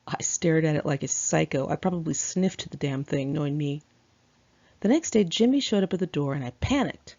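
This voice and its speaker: A woman reading her own memoir aloud in a candid, expressive, conversational storytelling style. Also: deep voice, gravelly, dull audio. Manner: candid, expressive, acts out dialogue.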